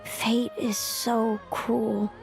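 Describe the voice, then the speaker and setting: A woman's voice: on the high side, flat delivery, very slow, vocal fry, darkish tone, faint music behind, exaggerated voice. Solemn, fatalistic young seer woman. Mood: sorrowful, resigned. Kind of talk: video game voice acting.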